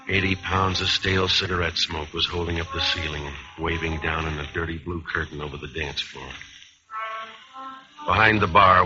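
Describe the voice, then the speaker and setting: A man acting a weary, terse jazz bandleader in clipped, deadpan 1950s radio noir style. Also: a bass voice. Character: weary, hard-boiled jazz bandleader, terse and world-worn. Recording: muffled vintage radio recording, music behind.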